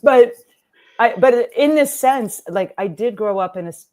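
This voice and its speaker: A middle-aged woman from Texas who grew up speaking Lithuanian, telling her life story as a guest in a conversational interview, animated and laughing often. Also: on the high side, unhurried. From Texas, USA. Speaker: guest, writer and nonprofit founder.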